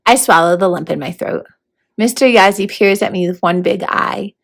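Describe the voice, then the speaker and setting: A woman reading a children's novel aloud as a volunteer storyteller, in an expressive narrating style. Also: medium pitch, normal speed, creaky.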